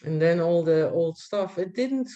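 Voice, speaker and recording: A woman with a Dutch accent, a guest chatting on a video call, speaking haltingly with many restarts and fillers. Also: lowish voice, animated, dark tone. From Netherlands. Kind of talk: casual video call chat.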